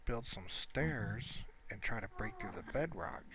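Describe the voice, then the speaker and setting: A man with a deep voice rambles through casual video game commentary. His pitch moves around a lot as he thinks aloud in an informal, halting way. Heavy noise and clicking sit behind him in the recording. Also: expressive. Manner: informal, hesitant, thinking aloud, mildly profane. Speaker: gamer recording gameplay commentary.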